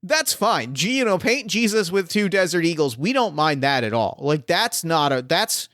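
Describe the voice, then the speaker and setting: A man's voice: fairly high pitch, very animated, normal speed, a bit bright, no echo, studio quality. A man acting as game master, thinking aloud with many fillers and restarts as he sets up a scene. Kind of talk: improvising a tabletop game scene.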